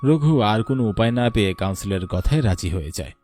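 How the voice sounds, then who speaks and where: A man's voice: lowish voice, expressive, fast talker, darkish tone, faint music behind. A man giving scripted narration for a horror story as its narrator.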